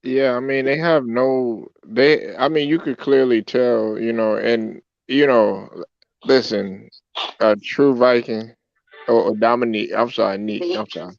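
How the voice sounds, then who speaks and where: A man's voice: medium pitch, steady tone, a little slow, creaky, no echo. A man hosting a casual online sports talk show, rambling and dropping "man" into his sentences. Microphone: online call. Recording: dry, dull lo-fi call audio.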